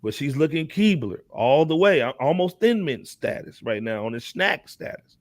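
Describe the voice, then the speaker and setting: A man giving casual hip hop commentary as a panelist, animated and slangy, often checking that listeners follow him. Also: on the high side, moderate pace, no echo. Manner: animated slangy talk with repeated check-in phrases. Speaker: talk show panelist.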